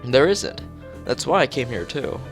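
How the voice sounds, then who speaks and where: Man reading as a blunt deadpan schoolgirl. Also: mid-range voice, moderate pace, music in the background, voice pushed up. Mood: flat, blunt. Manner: deadpan, straightforward. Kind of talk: acted visual novel dialogue.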